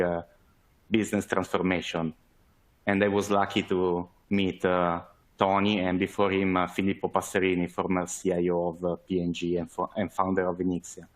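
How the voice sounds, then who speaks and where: A man with an Italian accent giving a webinar presentation over a video call, measured and hesitant, with many fillers. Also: low voice. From Italy. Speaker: webinar presenter, sales manager.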